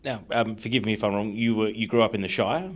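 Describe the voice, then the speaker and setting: Fast-talking Australian man hosting sports interview. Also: on the low side, steady tone, a little hiss or hum. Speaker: interviewer and show host.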